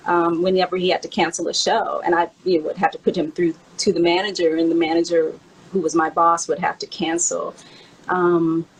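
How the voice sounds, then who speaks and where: A woman's voice: fairly low pitch, husky, poor audio. A woman in a casual conversation, reminiscing in a candid, conversational way. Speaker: host and memoir author counting down favorite songs. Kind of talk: casual chat, personal reminiscing.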